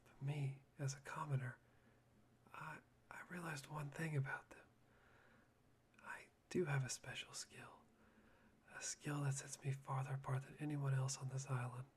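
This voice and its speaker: A man voicing a polite, brooding young man in a soft, halting, breathy whisper for an ASMR roleplay. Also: medium pitch, flat delivery, a little hiss or hum, lightly acted. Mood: brooding, disdainful.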